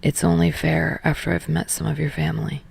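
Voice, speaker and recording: A woman voicing a tall, calm young man, dry and teasing but quietly smitten, in a soft, understated audiobook reading. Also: low voice, gravelly, slightly rough, full character voice. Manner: understated, measured.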